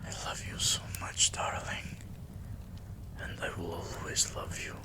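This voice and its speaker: A man playing a weary, tender vampire king in intimate roleplay ASMR, doting on a lover in a breathy, soothing whisper. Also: lightly acted, poor audio. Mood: loving, soothing. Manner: slow, soothing, addressing a lover with pet names.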